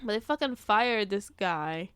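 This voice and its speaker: Woman, smooth clear voice, dry studio-close sound, slangy, blunt, sweary podcast chat. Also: mid-range voice, lively intonation, measured pace, fairly bright tone, no room sound. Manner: blunt, profane, slangy, playfully exaggerating. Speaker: podcast co-host. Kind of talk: casual comedic banter.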